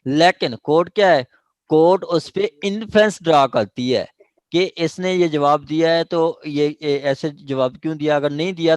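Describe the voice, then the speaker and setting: A man's voice: a little high, a little mellow, no echo. A man with a Pakistani accent teaching evidence law to a class, explaining step by step with many fillers and checking that students follow. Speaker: law lecturer.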